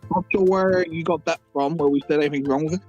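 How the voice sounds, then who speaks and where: A man's voice: a little high, fairly even intonation, fast, a little mellow, dull audio, soft background music. A man who stutters and repeats words, chatting earnestly on a game livestream. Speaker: co-host. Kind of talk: casual livestream chat.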